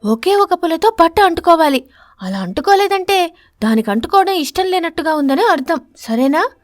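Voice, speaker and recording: Lady doing cartoon stingy sparrow wife, super high voice, bossy and smug, dramatic. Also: big pitch swings, normal speed, no echo, clean studio sound. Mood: bossy, insistent. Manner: theatrical, scolding, self-satisfied.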